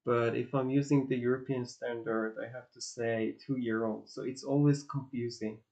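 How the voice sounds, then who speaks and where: A man from northwest Iran, a native Azeri and Farsi speaker, chatting casually with his stream viewers and often pausing to search for words. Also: mid-range voice, fairly even intonation, gravelly, darkish tone. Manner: hesitant, searching for words, many restarts and fillers. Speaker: livestream host.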